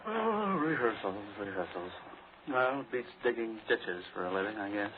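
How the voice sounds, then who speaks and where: An actor playing a dry, unflappable radio performer with a wisecracking manner, in 1940s radio acting style. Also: male voice, lowish voice, expressive, moderate pace, natural voice. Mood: wry, teasing. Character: wry, teasing male radio actor, unflappable. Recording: tinny, noisy old radio recording.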